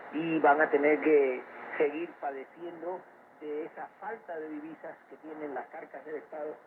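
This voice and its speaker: A man giving explanatory, opinionated economic commentary as an expert guest in a radio interview, heard over shortwave radio. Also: fairly high pitch. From Cuba. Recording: noisy shortwave radio capture, narrow phone-like band. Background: loud shortwave static and noise.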